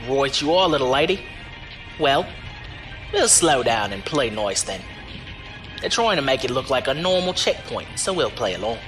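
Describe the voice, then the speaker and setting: A roguish driver-for-hire, acted by a man, breezy and unflappable. His pitch swings freely as he teases in folksy slang. Music plays behind him in this audio drama. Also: sing-song, moderate pace, pauses often, lightly acted. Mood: cool, alert. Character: cocky roguish male driver-mercenary, wily and unflappable.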